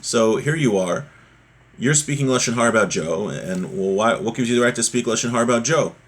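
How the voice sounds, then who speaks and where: A man teaches a religious class in a husky, mid-pitched voice. He moves between reading from a text and explaining it. He often restates a point in new words to drive it home. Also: brisk. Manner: explanatory, repeats and rephrases for emphasis.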